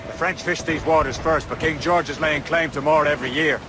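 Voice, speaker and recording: An actor playing a stern, dutiful sailor and hardened Assassin in a video game, gruff and matter-of-fact. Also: male voice, a little high, fairly even intonation, a little fast, natural voice. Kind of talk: dramatic video game cutscene acting. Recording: noisy sea ambience behind the voice. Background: waves and ship ambience.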